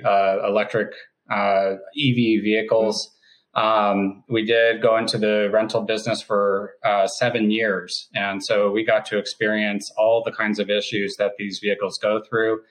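Low flat-voiced male co-founder. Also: poor audio. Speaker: company co-founder, guest. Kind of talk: casual interview talk.

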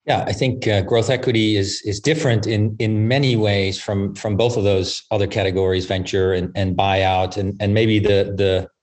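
A man giving explanatory answers as an interview guest, halting and repeating words as he goes. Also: lowish voice, fairly even intonation, a little mellow. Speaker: podcast guest, investment firm managing partner.

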